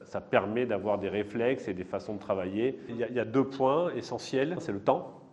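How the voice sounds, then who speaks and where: A man with a slightly low voice explains things in an interview. His wording is technical and methodical, like a consultant setting out his work. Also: a little fast, a little mellow, dull audio.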